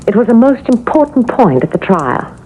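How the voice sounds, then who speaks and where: A woman's voice: on the high side, very animated, moderate pace, mellow. An actress playing a reproachful, theatrical female ghost of an eighteenth-century woman in a 1970s radio drama. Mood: aggrieved. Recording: muffled, noisy old radio broadcast.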